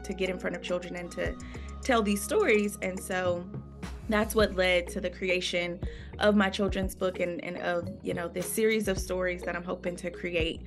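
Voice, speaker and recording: A woman from North Carolina, a children's book author, speaking earnestly over a video call with frequent "um" and "you know". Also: lowish voice. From North Carolina, USA. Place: room with a window and plants.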